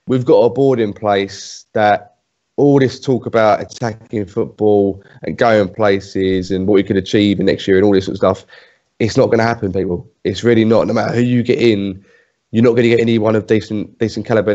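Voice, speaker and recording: A man's voice: on the low side, a little mellow, muffled recording. A man speaking as a fan panelist in a casual football discussion, blunt and pessimistic.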